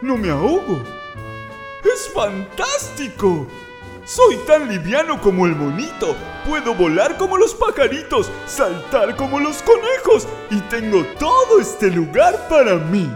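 A man's voice: very high voice, wildly swooping pitch, unhurried, music in the background, full character voice. A man playing a big young hippo in a children's audio story, exclaiming and wondering aloud. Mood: amazed, joyful. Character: big young hippo, restless, doubtful then thrilled.

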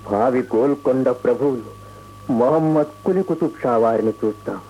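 A man's voice: medium pitch, normal speed, warm tone, slight background noise, natural voice, rough recording. An actor playing an aging, remorseful emperor and father in a radio play, grave, regal and given to sighing. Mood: remorseful, solemn. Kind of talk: radio play acting, solemn. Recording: radio play with faint music.

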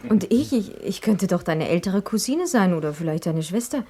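An actress playing a kindly young Irish lady in a radio play, speaking gently and coaxingly. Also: on the low side, lively intonation, moderate pace, natural voice. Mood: gentle, curious.

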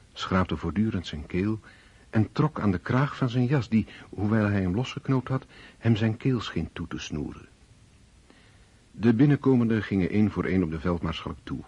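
A man narrates a literary radio drama in a scripted, storytelling style. His voice is very deep and dark, with a heavily husky grain. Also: muffled recording.

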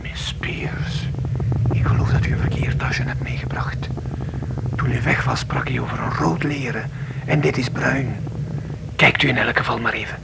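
Hushed, creaky-voiced helper aboard a plane. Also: male voice, mid-range voice, steady tone, normal speed, whispered, poor audio. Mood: discreet. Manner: hushed, courteous. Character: polite, hushed male helper aboard an airliner. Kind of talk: radio play acting.

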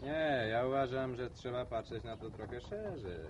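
A man's voice: medium pitch, moderate pace, lo-fi sound, background noise, lightly acted. An actor playing an earnest, opinionated educated man from town in a radio drama, lecturing insistently on his grand ideas.

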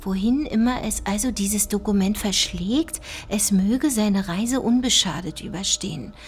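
Actress as sober female investigator, radio drama, mid-pitched, precise and sceptical. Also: measured pace, a little hiss or hum, lightly acted. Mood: sober, measured. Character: sober, sceptical woman investigator reading out secret files. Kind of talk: radio play dialogue and document reading.

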